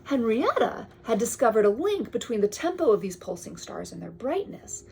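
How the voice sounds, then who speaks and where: Woman storyteller, highish voice with creaky edges, very lively read-aloud for children, wonder-filled, hushed dips, faint background noise. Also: moderate pace. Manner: expressive, wonder-filled, often dropping to whispers.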